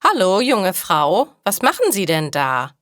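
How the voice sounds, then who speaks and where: A woman acts out an insistent male neighbour in a language-learning story. He is friendly and eager to help, with emphatic, polite phrasing, a measured slow pace and animated intonation, recorded dry and close. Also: medium pitch, no room sound. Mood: puzzled, insistent.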